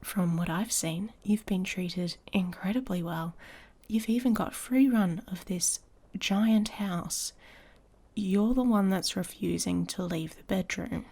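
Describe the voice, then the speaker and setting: A woman playing an irritable, sarcastic she-wolf pack heir in an intimate ASMR audio roleplay, soft and breathy, often near a whisper. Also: medium pitch, slow. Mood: scornful, annoyed. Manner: sardonic, exasperated, drawling with sighs.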